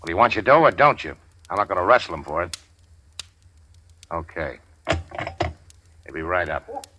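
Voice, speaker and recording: A man's voice: deep voice, sing-song, a little slow, background noise. A man playing a cool-headed gangster go-between, dry and wisecracking, in 1940s radio drama style.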